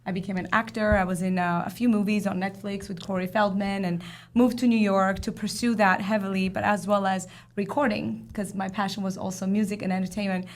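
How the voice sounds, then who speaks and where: A woman from Armenia being interviewed as a guest, telling her story in an expressive way. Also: mid-range voice, brisk. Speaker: guest, entrepreneur. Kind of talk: casual interview talk.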